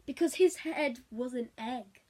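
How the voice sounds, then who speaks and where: A woman chatting casually as she tells a story in an animated, giggly way. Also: sits high, a little slow. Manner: animated, giggly, acts out quotes. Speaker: vlogger telling a story.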